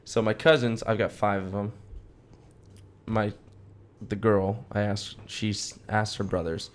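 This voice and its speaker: Low-voiced young American guy co-hosting a podcast. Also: little pitch movement, unhurried. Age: young adult. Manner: slangy, bro-heavy, informal talk. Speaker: podcast co-host. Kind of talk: casual podcast banter.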